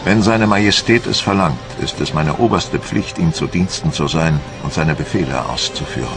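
An actor playing a proud, dutiful French admiral who recounts his campaign in a solemn, measured, formal way for a documentary radio play. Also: extremely low pitch, music in the background. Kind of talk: dramatised documentary narration.

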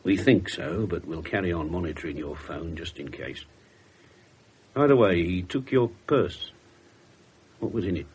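An actor playing a brusque, sardonic English police detective in a 1970s radio drama, with a dry, clipped manner. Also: male voice, sits low, lively intonation, moderate pace, pauses often, a little mellow, breathy edge, heavily acted. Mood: subdued. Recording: 1970s radio broadcast with faint hiss.

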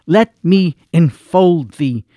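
A man's voice: fairly high pitch, expressive, takes it slowly, warm tone, no room sound. An actor playing a gracious, affectionate king in a Shakespearean radio drama, with an effusive, regal manner. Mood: grateful, affectionate.

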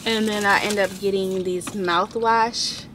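A woman's voice: medium pitch, steady tone, measured pace, a bit bright, a little hiss or hum. A young woman, chatting casually to her vlog viewers from her parked car. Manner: chatty, addresses viewers as y'all. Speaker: vlogger. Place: parked car, then large store.

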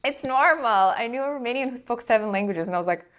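A woman's voice: on the high side, expressive, fast, a bit bright. A young woman from Moldova, a podcast host whose first language is Russian, chatting casually with lots of likes and you-knows. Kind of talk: casual interview chat. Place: bright room with large window.

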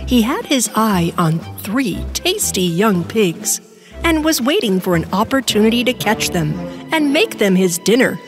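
A woman narrating a children's bedtime story, expressive and storytelling in tone. Her voice sits a bit high and moves in a lively way, over clear music. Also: measured pace. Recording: music bed behind.

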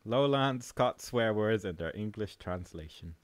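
A man's voice: on the low side, expressive, measured pace, dry recording. A man, an amateur voice actor, talking casually between takes.

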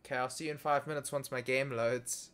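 A man's voice: mid-range voice, steady tone, fast, fairly bright tone, clear, resonant tone. A young man chatting casually with a friend on a voice call while loading a game, joking and musing in a mock-dramatic way. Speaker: gaming video creator. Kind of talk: casual chat over voice call.

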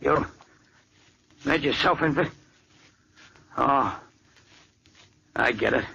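An actor playing a nervous, defiant gangster in a jail cell, talking in jittery street slang, in 1940s radio acting. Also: male voice, mid-range voice, extremely slow, creaky, bright, forceful tone, exaggerated voice. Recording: dull old radio recording with hiss.

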